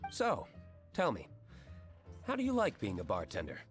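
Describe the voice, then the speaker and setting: An actor playing a composed, courteous young gentleman tavern owner in a video game, speaking in a measured, understated way. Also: on the low side, wildly swooping pitch, over music. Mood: wry, curious. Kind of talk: game character acting.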